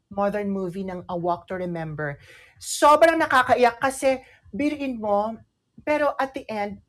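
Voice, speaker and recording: Woman, Filipino accent, radio co-host, casual code-switching chat, halting with repeated words, mid-pitched voice. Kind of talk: casual radio chat, mixing English and Tagalog.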